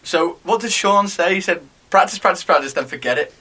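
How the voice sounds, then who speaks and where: A man's voice: high-pitched, brisk, noisy background. A man co-hosting a climbing podcast, chatting playfully and laughing often. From New Zealand. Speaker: podcast co-host. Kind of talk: casual chat.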